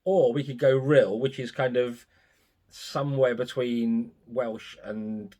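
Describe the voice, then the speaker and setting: A man's voice: medium pitch, steady tone, slow, warm tone. A man co-hosting a casual film review podcast, giving blunt opinions and swearing freely. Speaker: podcast co-host.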